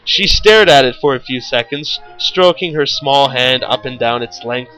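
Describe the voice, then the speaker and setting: A man's voice: mid-range voice, moderate pace, pushed and bright, soft background music, rough recording. A man reading a story aloud in a theatrical, mock-serious way. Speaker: story reader, show host.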